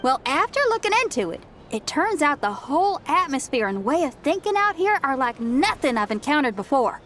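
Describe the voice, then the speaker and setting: A woman voicing an upbeat young female rock musician who talks in a slangy, energetic, laid-back way, in video game dialogue. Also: sits high, a little fast, a little hiss or hum.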